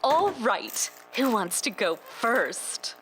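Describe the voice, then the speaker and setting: A woman's voice: fairly high pitch, drawn-out words, soft background music, exaggerated voice, poor audio. A woman playing a chirpy, oblivious, fussing housewife mother in a stylized horror drama, drawing out her words sweetly. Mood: eerily cheerful. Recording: steady background noise and hum.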